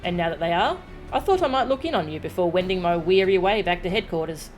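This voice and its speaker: A woman's voice: on the low side, lively intonation, fast talker, soft background music, lightly acted. Woman putting on a suave, wry male rogue. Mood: wry, casual. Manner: wordy, dryly witty. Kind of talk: dramatic read-aloud of video game dialogue.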